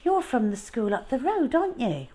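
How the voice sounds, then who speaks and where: A woman's voice: on the high side, very animated, moderate pace, warm tone, pitched above the performer's own voice. A woman voicing a curious, concerned young girl in a storybook, with questioning, lilting speech. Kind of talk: storybook character reading.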